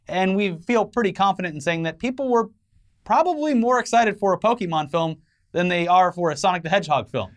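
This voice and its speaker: Higher-voiced man co-hosting entertainment news. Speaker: co-host of an entertainment news show. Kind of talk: semi-scripted news commentary with casual banter.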